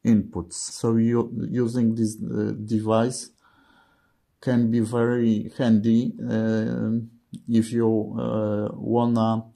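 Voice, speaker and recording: A man with a non-native English accent giving a halting, hesitant gadget demonstration, often breaking off words. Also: fairly low pitch, completely flat delivery, creaky, warm tone. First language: not English. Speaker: presenter of radio gadget reviews. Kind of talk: product demonstration narration.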